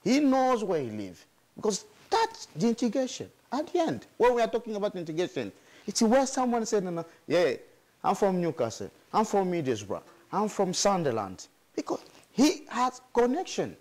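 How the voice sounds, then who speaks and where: A man who works in community advice, interviewed in an office, speaking in an animated and emphatic way. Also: high voice, moderate pace. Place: indoor office.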